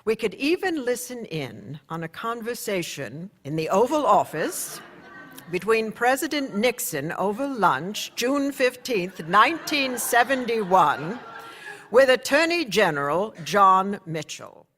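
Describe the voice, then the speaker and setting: Male narrator, first-person newsroom story, measured and conversational, fairly high voice. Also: big pitch swings, pushed and bright, slight background noise. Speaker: narrator, newspaper insider.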